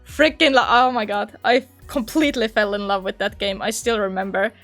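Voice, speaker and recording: A young woman who makes gaming videos, chatting casually and giggling often, her voice a little hoarse from long talking. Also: medium pitch, steady tone, brisk, fairly bright tone, soft background music. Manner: chatty, giggly, laughs often between phrases. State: slightly hoarse, losing her voice. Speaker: gaming YouTuber. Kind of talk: casual reflective vlog chat.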